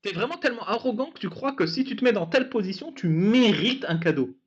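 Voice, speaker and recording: Man, high lively voice, mocking, laughing, dull lo-fi recording. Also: fast. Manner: animated, sarcastic, laughs often. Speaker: host of a debate channel. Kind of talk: casual mocking chat. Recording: dull lo-fi sound.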